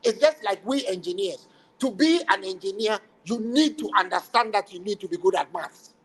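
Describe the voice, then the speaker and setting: A man's voice: sits high, moderate pace, husky. A man from Ghana, co-hosting a football stream, blunt and passionate and fond of proverbs. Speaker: co-host. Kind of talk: casual football debate on a livestream.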